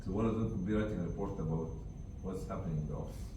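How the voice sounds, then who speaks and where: A man telling animated anecdotes to a group of staff, acting out the dialogue. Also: low voice, racing. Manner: animated, acts out dialogue, laughs often. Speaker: charity founder telling stories to staff. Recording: echoey room from far off with background noise.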